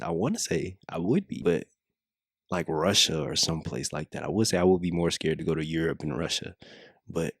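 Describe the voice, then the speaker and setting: American dude doing casual reaction commentary, gravelly voice, close dry sound, lots of slang and cussing. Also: male voice, deep voice, no room sound. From United States. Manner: slangy, heavy swearing, repeats checking phrases. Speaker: reaction video host.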